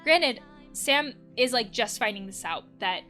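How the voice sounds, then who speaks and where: A woman's voice: a little high, animated, unhurried, sharp tone. A young adult woman hosting a casual game let's play, reading on-screen text aloud and adding reflective, conversational asides. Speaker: let's play host, counselor. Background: background music.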